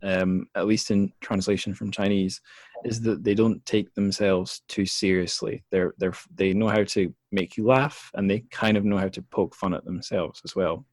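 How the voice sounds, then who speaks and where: A man's voice: low voice, fairly even intonation, moderate pace, no echo. A man hosting a podcast interview, thinking aloud in a hesitant, reflective way with frequent restarts and fillers. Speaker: podcast host.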